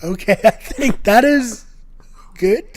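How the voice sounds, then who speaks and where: A man co-hosting a casual video game podcast, his voice going hoarse, talking in an upbeat, jokey way with rambling anecdotes. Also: high-pitched, expressive, takes it slowly. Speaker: podcast co-host. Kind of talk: casual chat.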